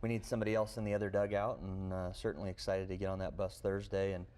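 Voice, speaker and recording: A man's voice: sits low, flat delivery, fast talker, a little mellow, clean tone. A man, a coach, giving casual answers as a guest in a studio sports interview.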